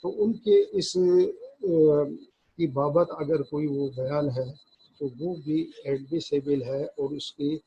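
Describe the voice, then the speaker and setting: A Pakistani man lectures in a husky, rough voice that sits a little high. He moves very slowly and deliberately, halting and repeating phrases as he reads formal text and explains it. The recording is dull and lo-fi. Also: animated. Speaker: law lecturer. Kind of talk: lecture reading legal text aloud in English and explaining it in Urdu.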